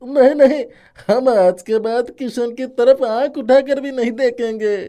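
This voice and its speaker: A woman's voice: fairly high pitch, measured pace, warm tone, lightly acted. A woman playing a frightened young male bully in a children's moral story, alarmed and pleading. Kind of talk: animated moral story acting.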